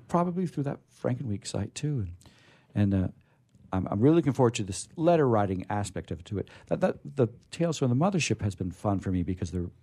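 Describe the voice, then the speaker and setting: A male radio host chats casually and with real enthusiasm. His pitch moves up and down a great deal as he rambles along, circling back to repeat his own phrases. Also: mellow, slightly rough. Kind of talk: casual, chatty live radio hosting.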